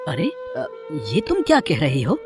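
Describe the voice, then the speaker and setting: A put-upon young farmer husband, voiced by a woman, weary and plaintive. The delivery swings widely in pitch, with soft music behind the village story drama. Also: wildly swooping pitch, measured pace, heavily acted. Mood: exasperated. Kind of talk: dramatised Hindi moral story.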